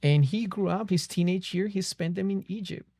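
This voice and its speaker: Colombian man with a gravelly, mellow voice. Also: sits high, moderate pace, no echo. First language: Spanish. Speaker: podcast guest, filmmaker. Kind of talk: personal storytelling in a conversation. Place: small podcast studio. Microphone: close boom-arm studio microphone.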